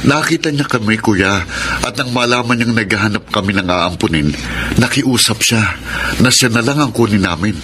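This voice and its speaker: A man's voice: fairly low pitch, moderate pace, noisy background, lightly acted. A man acting an earnest, emotional married son in a Filipino radio drama. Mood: earnest, relieved. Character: anguished married son who cannot father a child.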